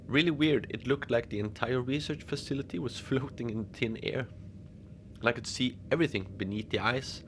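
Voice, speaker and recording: A man playing a lonely, gentle Antarctic facility manager, rambling hesitantly and apologetically in a cozy fiction podcast monologue. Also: mid-range voice, normal speed, slight background noise, lightly acted. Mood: chatty, wry. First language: Norwegian. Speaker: podcast narrator.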